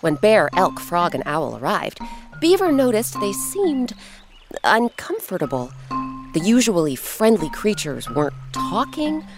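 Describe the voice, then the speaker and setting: A woman narrating a children's folktale as an expressive storyteller and host who addresses the listener. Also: medium pitch, unhurried, over music. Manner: animated, audience-addressing storyteller.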